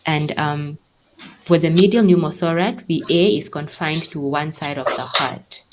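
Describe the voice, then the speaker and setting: A woman's voice: sits low, unhurried, lo-fi sound, slight background noise. A woman giving a medical teaching presentation, explaining in a careful and somewhat hesitant way.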